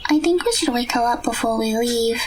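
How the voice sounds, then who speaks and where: A woman playing a gentle, considerate teenage girl in an audio drama, speaking politely. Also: on the high side, a little fast, slight background noise, natural voice. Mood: concerned. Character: gentle, caring teenage girl with magical knowledge.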